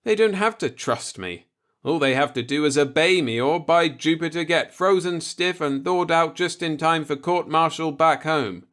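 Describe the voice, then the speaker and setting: A man's voice: fairly high pitch, normal speed, a bit bright, pitched above the performer's own voice, lightly acted. A man voicing a stern, distrustful young spaceship captain in a sci-fi audiobook, blunt and sardonic but earnest.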